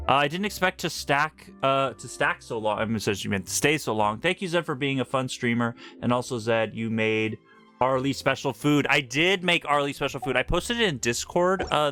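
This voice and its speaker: A man livestreaming casual game commentary, excitable and repeating phrases for emphasis as he talks to his chat. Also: on the high side, moderate pace. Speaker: game streamer. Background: faint game music.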